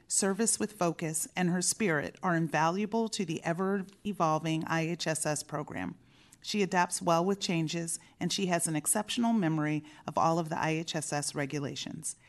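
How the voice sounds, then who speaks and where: A woman at a commission meeting, steadily reading a prepared employee-of-the-month citation aloud. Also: fairly low pitch.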